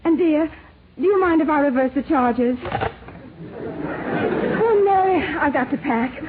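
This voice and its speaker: A thin, lightly noisy 1950s radio broadcast carries an anxious young society wife. Her voice sits fairly high, emotional and breathless, pleading with the heightened drama of old radio acting. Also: expressive, takes it slowly, phone-quality sound, some chatter behind. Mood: overjoyed.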